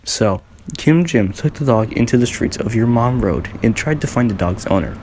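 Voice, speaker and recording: A man's voice: mid-range voice, expressive, normal speed, darkish tone, a little hiss or hum. A man narrating a scripted comic story for a homemade radio show.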